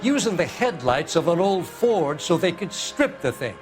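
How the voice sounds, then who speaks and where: A man's voice: sits high, very animated, normal speed, over loud music. An actor voicing a cranky, nosy old American man in a video game, irritable, defensive and folksy. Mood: boastful, animated.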